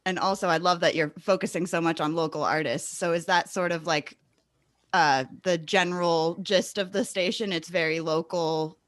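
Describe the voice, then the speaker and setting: A woman's voice: lowish voice, steady tone, moderate pace, fairly bright tone, no room sound, clean studio sound. A woman hosting a casual podcast interview in an enthusiastic, affirming manner. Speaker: podcast host interviewing a guest.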